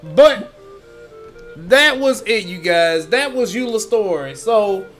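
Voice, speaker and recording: A man's voice: high-pitched, animated, slow pace, fairly bright tone. A young man hosting a gaming video with casual, slangy, sweary commentary that rambles along. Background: game music.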